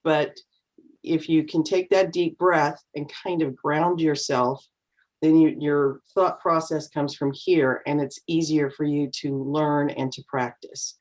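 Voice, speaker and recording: A woman with a low, deep voice gives advice to English learners on a video call. She explains patiently, encouraging them to practice, and sometimes acts out a nervous speaker. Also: steady tone, measured pace, darkish tone, poor audio. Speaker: co-host and speaking coach. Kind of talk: online English class coaching.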